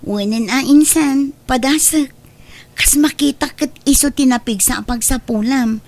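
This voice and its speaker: Woman acting a high-pitched young Ilocano mother. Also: a little slow, darkish tone. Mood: willing. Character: proud, jobless young mother, scolded daughter. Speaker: drama actress. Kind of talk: Ilocano comedy drama acting.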